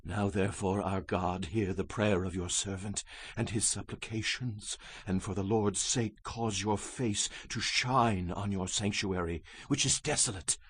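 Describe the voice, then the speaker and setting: An anguished, husky-voiced prophet at prayer. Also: male voice, on the low side, fairly even intonation, a little slow, dry recording. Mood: subdued, penitent. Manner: impassioned, solemn, emphatic. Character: anguished Hebrew prophet, fervently pleading with God in prayer. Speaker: actor in a dramatized audio Bible. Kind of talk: dramatized scripture reading.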